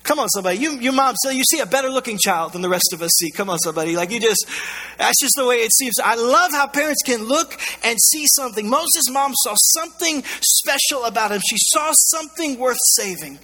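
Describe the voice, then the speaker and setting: A man's voice: extremely high pitch, lively intonation, fairly quick, sharp tone. A man with an American accent preaching a sermon in an emphatic, colloquial way, repeating phrases, his voice scratchy and strained. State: scratchy, strained voice going hoarse. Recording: long hall reverb.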